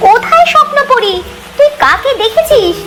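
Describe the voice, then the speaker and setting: Very high-pitched fretful cartoon village mother played by a woman. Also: sing-song, normal speed, over music. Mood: anxious. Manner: fretful, nagging. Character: worried, scolding village mother and wife. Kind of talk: cartoon voice acting.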